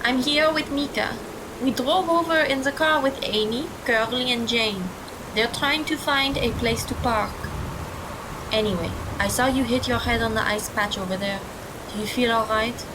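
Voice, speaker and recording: A woman playing a careful, matter-of-fact teenage girl in an audio drama with rumbling noise. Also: moderate pace, lightly acted. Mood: concerned. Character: sensible, careful teenage girl, former hockey player.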